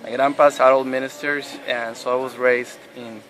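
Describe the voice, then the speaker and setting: A man in his thirties from Puerto Rico, telling his faith story in an interview with many pauses and restarts. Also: medium pitch, level tone, fairly bright tone, a little hiss or hum, poor audio. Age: 30s. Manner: hesitant, many restarts and fillers. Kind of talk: personal testimony interview.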